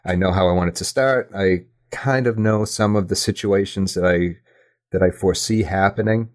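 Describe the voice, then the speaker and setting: A man with a low, deep voice talking as a guest in a relaxed interview. He is reflective and a little self-critical. His phrases come hesitantly as he thinks things through. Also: normal speed. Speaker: podcast guest, audio drama writer. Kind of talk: casual reflective interview talk.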